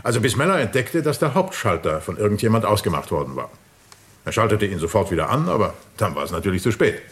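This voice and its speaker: Wealthy father, middle-aged, deep voice, genteel, polite, hesitant, flustered, radio crime drama. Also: big pitch swings, quick pace. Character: flustered, well-to-do father hosting a grand party.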